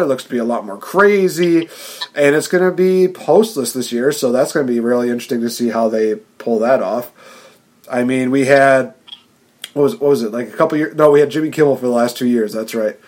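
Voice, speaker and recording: A man hosting a podcast, chatting casually, excitable and laughing often, with frequent restarts. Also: medium pitch, normal speed. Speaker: podcast host. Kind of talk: casual chat.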